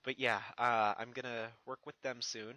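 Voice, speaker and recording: Male online fan-fiction reader, medium pitch, casual, halting and rambling, dry sound. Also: little pitch movement, sharp tone, no echo. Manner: hesitant, rambling, stammering. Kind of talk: casual channel update.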